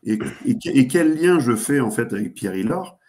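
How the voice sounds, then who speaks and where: A man speaking as a guest commentator, giving geopolitical analysis in a talk show, pausing often with fillers and restarts. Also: mid-range voice, lively intonation, a little mellow. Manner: hesitant, many fillers and restarts.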